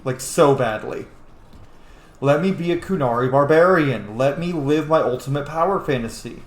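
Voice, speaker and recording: A man's voice: fairly high pitch, moderate pace, echoey room. A man giving casual, sweary gameplay commentary, talking to the characters as he plays a role-playing game. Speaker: gaming video host playing a role-playing game.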